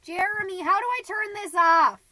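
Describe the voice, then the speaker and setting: A woman's voice: extremely high pitch, normal speed, pushed and bright, no room sound. A woman reading a scripted podcast outro as the announcer. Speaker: credits announcer.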